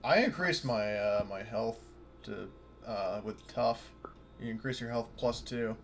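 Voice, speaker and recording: A man's voice: lowish voice, a little slow. A man talking casually and swearing now and then as a player in a tabletop roleplaying session. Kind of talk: casual game-session chat. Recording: clearly noisy background hiss.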